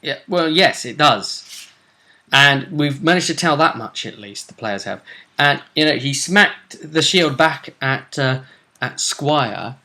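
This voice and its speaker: A man with a bright, forceful voice talks through a tabletop game session as host. He tells it casually and with some animation, like someone retelling fights to a friend. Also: medium pitch, animated, slow pace.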